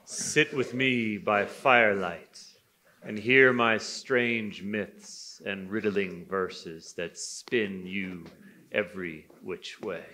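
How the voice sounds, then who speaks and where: A man's voice: lowish voice, slow pace, bright, forceful tone. A man reciting poetic verse on a noisy recording.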